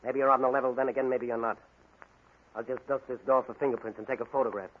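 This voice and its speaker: A man's voice: medium pitch, flat delivery, quick pace, natural voice. A man acting a confident, commanding masked crime-fighter with clipped tough talk and wry courtesy in a 1940s radio drama. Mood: stern, threatening. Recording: noisy tinny 1940 radio recording.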